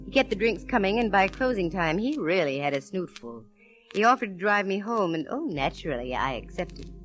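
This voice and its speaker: An actress playing a cool, sassy, wisecracking private eye with wry, punchy delivery in 1940s radio detective drama. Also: female voice, medium pitch, big pitch swings, fairly quick, natural voice. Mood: wry narration. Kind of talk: 1940s radio detective drama, first-person narration and dialogue. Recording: faintly noisy vintage radio recording.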